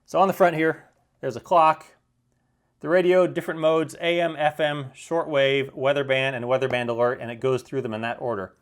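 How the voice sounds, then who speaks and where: A man giving an unscripted product demonstration in a plain-spoken, explanatory way, sometimes halting to correct himself. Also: mid-range voice, flat delivery. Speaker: product reviewer.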